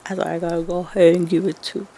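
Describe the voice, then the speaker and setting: A woman's voice: lowish voice, level tone, rapid-fire, warm tone, slight background noise. A Mexican-born woman whose first language is Spanish, a shop owner joking and laughing as a podcast guest. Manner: laughing, jokey, emphatic. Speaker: guest, vintage clothing store owner. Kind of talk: casual podcast interview.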